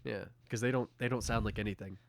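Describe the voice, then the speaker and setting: A young adult man co-hosting a podcast, bantering casually in an animated, teasing way. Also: lowish voice, fast, vocal fry. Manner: animated, teasing, acts out quotes. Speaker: podcast co-host.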